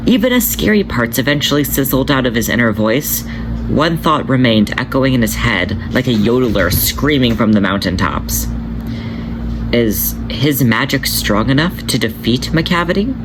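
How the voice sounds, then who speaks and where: A man narrating a fan fiction story in an expressive way. His intonation rises and falls a lot, at a mid pitch. The home recording carries a clearly audible noisy hiss. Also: moderate pace. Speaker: podfic reader. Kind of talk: reading fan fiction aloud.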